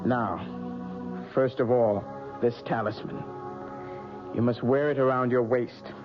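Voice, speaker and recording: A sinister old crone of a fortune teller, soft-spoken and coaxing, her creepiness sitting in every word, as an actor performs her. She speaks at an unhurried, drawn-out pace. The sound is a muffled old radio broadcast with music underneath. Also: mid-range voice, steady tone, lightly acted. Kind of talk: 1970s radio drama acting.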